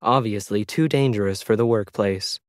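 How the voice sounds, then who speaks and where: A man voicing a loyal, stubborn android worker with a measured, earnest manner in an audio story. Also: lowish voice, no room sound, studio quality, close to an everyday voice. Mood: resolute.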